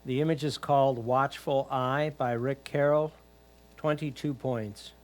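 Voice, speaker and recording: A man's voice: mid-range voice, steady tone, measured pace. A man reading out titles and scores at a competition in a formal, matter-of-fact way.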